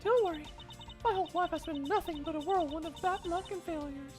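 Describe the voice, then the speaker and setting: A man voicing a clumsy, eager young female security guard in a live one-man fan dub of a game, in a high, excitable falsetto. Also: a little fast, soft background music. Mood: rueful.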